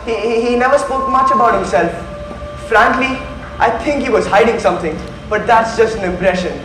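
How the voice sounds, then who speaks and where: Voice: mid-range voice, a little fast, heavy background noise, lightly acted. A teenage student acting a nervous, evasive male secretary in a school stage play. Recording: echoey stage recording with music behind.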